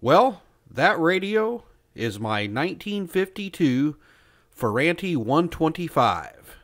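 A man's voice: on the high side, sing-song, takes it slowly, fairly bright tone. A middle-aged man giving an informal, explanatory show-and-tell as a hobby channel host. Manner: casual, explanatory. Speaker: vintage electronics channel host.

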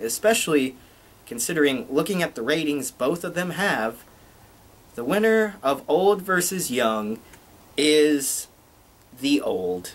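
A young man in a small bedroom giving a casual TV review vlog to a webcam, with loose restarts. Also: a little high. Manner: unhurried, loose delivery with restarts. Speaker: video reviewer.

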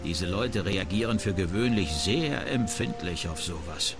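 A man's voice: low voice, expressive, a little slow. An actor playing a cagey, streetwise townsman and trainer in a video game, casual and guarded. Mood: cautious, wry. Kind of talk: video game character dialogue. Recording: music under the voice.

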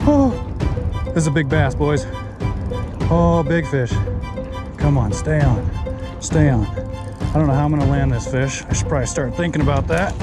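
Young man, fishing vlogger, outdoors by a pond, action camera mic, hushed then shouting with excitement. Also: on the high side, creaky. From Oregon. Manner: hushed and tense while stalking, then yelling with excitement. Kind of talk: live fishing commentary. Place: outdoors by a pond among bushes. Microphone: head or chest mounted action camera.

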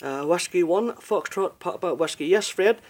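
Higher-voiced Northern Irish man on radio. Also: lively intonation, normal speed. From Northern Ireland. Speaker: amateur radio operator. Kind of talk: casual ham radio contact.

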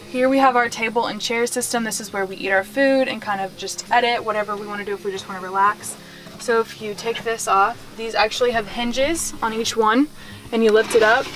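A woman's voice: mid-range voice, poor audio. A young woman in her twenties giving a casual tour of her small converted van, chatty and full of "like" and "um", filmed on a handheld camera. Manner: chatty, lots of "like" and "um". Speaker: vlogger. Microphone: handheld wide-angle camera.

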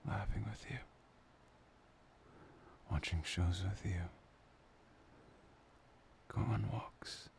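A soft, reassuring boyfriend acted by a man murmurs intimately in ASMR roleplay. His breathy, often whispered voice sits fairly low and is full of heavy fry. He speaks very slowly and close to the mic, over faint noise. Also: steady tone, heavily acted. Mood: tender, confessional. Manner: slow, pausing, soothing. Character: soft, playful, loving boyfriend, tender and reassuring. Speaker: audio roleplay performer. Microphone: close microphone.

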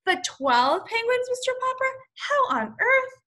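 A woman's voice: very high voice, very animated, measured pace, pushed and bright, voice pushed up, heavily acted. A woman voicing an earnest, polite, easily astonished man in a storybook, eager and exclaiming.

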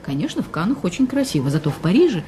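An actress in a radio drama playing a domineering, mocking wealthy wife, imperious and needling. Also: female voice, fairly low pitch, big pitch swings, rapid-fire, warm tone, a little hiss or hum. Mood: assertive.

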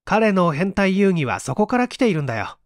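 A man's voice: sits high, sing-song, brisk, no echo, clean studio sound. A man reading literary fiction aloud as a dramatic audiobook narrator. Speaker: audiobook reader, stage actor.

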